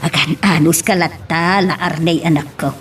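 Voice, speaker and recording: A woman's voice: low-pitched, steady tone, moderate pace, a little hiss or hum, close to an everyday voice. A woman playing a scatterbrained, soothing mother in an Ilocano audio drama. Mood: flustered, soothing.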